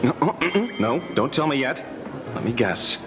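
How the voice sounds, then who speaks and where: A man's voice: mid-range voice, animated, moderate pace, lightly acted. An actor voicing a wry, playful young man companion in a video game. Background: game music.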